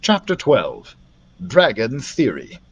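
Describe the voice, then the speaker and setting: A man narrating a children's fantasy novel as a library storyteller, expressively, in an animated manner. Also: mid-range voice, slow pace, a little hiss or hum. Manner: animated, acts out the characters' dialogue.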